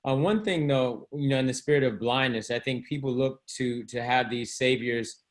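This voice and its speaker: A man gives his view in a group discussion over a video call. He talks in a measured, somewhat hesitant way, with small pauses. His pitch sits in the middle range. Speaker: discussion participant. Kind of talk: discussion comment.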